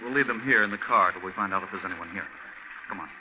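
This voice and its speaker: A man's voice: deep voice, fast, natural voice. Man playing a harried motorist in old radio drama. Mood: resigned, practical. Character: harried, practical male motorist seeking shelter. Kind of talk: 1940s radio drama acting. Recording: muffled, noisy 1940s radio recording.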